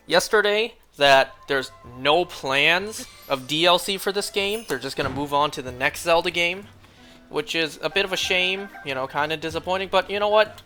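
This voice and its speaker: A young man streaming a game, chatting casually and rambling, clearing his throat often as he is losing his voice. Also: fairly high pitch, normal speed, pushed and bright, faint music behind.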